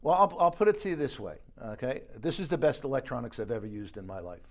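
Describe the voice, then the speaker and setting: A man's voice: medium pitch, big pitch swings, quick pace. A man working as an audio dealer, explaining gear informally and correcting himself as he goes. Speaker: audio dealer giving a product demonstration. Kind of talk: informal show-room product walkthrough. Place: exhibition suite at an audio show.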